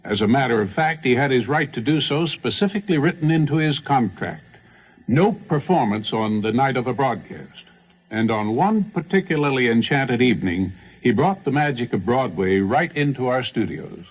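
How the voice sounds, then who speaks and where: A man hosting a radio program, reminiscing in a measured, scripted announcer delivery. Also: medium pitch, expressive, husky, rough recording. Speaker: radio host.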